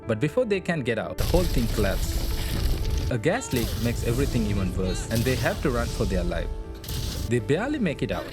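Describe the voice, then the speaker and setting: A man's voice: medium pitch, sing-song, moderate pace. Chatty man narrating a game story. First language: not English. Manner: chatty, informal retelling. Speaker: video narrator. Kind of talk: casual game story recap. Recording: voice-over with loud game music underneath.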